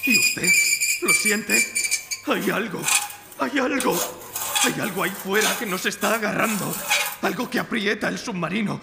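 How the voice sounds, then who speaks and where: Gravelly, haunted young submarine officer. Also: male voice, high voice, a little slow, long pauses. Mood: fearful. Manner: tense, haunted. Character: strained, brooding young naval second-in-command. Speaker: actor. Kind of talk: live radio theatre acting. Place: live stage in a library before an audience. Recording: echoing hall, loud background noise.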